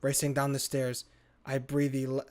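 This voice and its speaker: A man hosting a gaming video, reading a horror visual novel aloud in a theatrical, expressive way with casual commentary. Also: medium pitch, normal speed. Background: game music.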